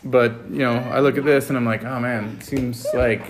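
A man in casual, reflective discussion, speaking thoughtfully and hesitantly with frequent pauses, recorded at home. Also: medium pitch, fairly even intonation, noisy background. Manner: hesitant, thoughtful, pausing often. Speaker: family podcast co-host giving commentary.

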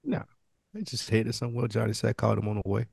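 Male co-host, somewhat low pitch, dry recording, casual slangy conversation. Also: sing-song, fast talker, vocal fry, darkish tone, husky, dry recording. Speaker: podcast co-host. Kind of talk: casual TV review chat.

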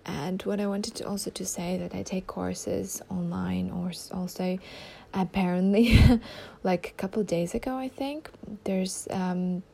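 A young woman talks through her podcast in a loose, rambling way, filling pauses with ums and restarting her sentences. Her voice sits low and a little gravelly, with a dark, mellow tone. Faint room noise lies underneath. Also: fairly even intonation. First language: not German. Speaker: podcast host. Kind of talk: casual solo podcast chat.

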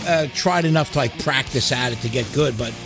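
A man's voice: medium pitch, fairly quick. A man hosting a podcast, chatting casually about rock music in an enthusiastic, slangy way with plenty of swearing. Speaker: podcast host.

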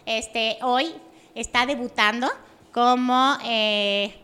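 A woman's voice: a little high, steady tone, slow pace, pushed and bright, clear, resonant tone. A woman with a Mexican accent hosting a podcast, asking questions in a chatty way with lots of fillers. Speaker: podcast host. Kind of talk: casual interview chat.

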